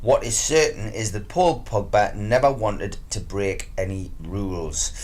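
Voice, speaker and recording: A man's voice: fairly low pitch, a little slow, a little mellow, a little hiss or hum. A man on sports radio giving football news reports mixed with informal comment.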